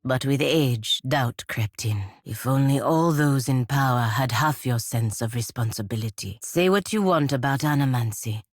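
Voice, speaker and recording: An actress playing a proud, duty-bound godlike knight in a video game, speaking formally and gravely. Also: female voice, extremely low pitch, normal speed, hardly any pauses, no room sound, studio quality. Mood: earnest, reflective.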